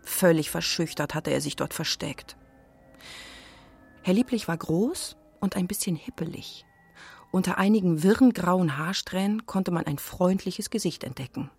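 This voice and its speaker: A woman with a husky voice narrating a scripted children's radio play as storyteller. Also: on the low side, normal speed, a little mellow.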